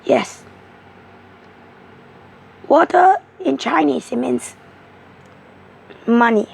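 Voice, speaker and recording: A woman with a hoarse, strained voice slowly explaining tarot cards. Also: sits high, lively intonation. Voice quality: rough, strained voice. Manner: slow, halting, repetitive explaining. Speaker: tarot card reader.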